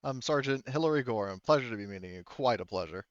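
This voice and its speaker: Man voicing a gracious army sergeant woman, smoothly spoken. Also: mid-range voice, expressive, quick pace, no room sound, dull audio, close to an everyday voice. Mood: warm, grateful. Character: friendly, gracious army sergeant woman who does stand-up.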